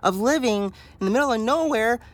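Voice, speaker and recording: A curious young boy, played by a woman, in an audio drama. He is stubborn and a little whiny, with blunt childlike questions and a very lively, bouncing pitch. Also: brisk, natural voice. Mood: whiny protest.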